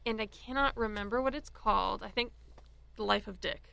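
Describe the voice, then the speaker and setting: A woman's voice: medium pitch, a little fast, creaky, pushed and bright, clear, resonant tone. A woman chatting casually as a panelist on a talk podcast. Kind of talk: casual chat.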